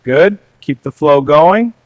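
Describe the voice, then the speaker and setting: A man's voice: on the high side, lively intonation, slow, no echo, highs cut off. A man delivering brief scripted lines as a factory worker.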